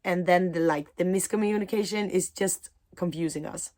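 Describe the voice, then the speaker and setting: A woman who is a mindset coach and spiritual teacher, speaking in a reflective talk to camera, pausing often with soft fillers. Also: fairly low pitch.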